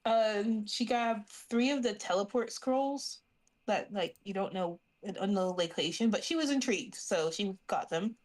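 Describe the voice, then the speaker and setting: A woman's voice: medium pitch, a little slow, darkish tone. A woman chatting casually as a player in a tabletop role-playing game.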